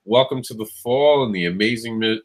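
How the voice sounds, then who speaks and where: A man's voice: fairly low pitch, normal speed. A man with a nasal, slightly scratchy voice from a lingering cold, hosting a casual live show and rambling with many ums and restarts. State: has a cold, scratchy throat. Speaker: show host. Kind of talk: casual livestream chat.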